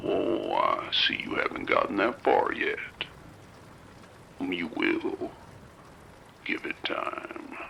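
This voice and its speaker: An actor playing a sinister, knowing male presence that taunts in a slow, gravelly whisper. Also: deep voice, huge pitch swings, sharp tone, a little hiss or hum, exaggerated voice. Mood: taunting. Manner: mocking, teasing riddles. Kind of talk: audio drama acting.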